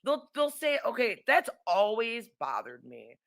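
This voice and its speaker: Bright-voiced woman interviewer. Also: a little high, big pitch swings, unhurried. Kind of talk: casual conversational interview.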